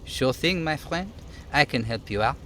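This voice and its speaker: A man's voice: mid-range voice, expressive, moderate pace, sharp tone, a little hiss or hum, voice pushed up. Man putting on a jolly, folksy union striker. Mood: friendly.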